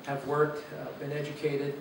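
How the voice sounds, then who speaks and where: A man in his fifties from Los Angeles, giving an earnest, reflective talk as a guest speaker with frequent "uh" pauses. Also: a little high, monotone, noisy background. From Los Angeles, USA. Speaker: guest speaker, university president. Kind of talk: personal talk to a church gathering. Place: meeting room or church hall. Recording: echoey room, mic far away.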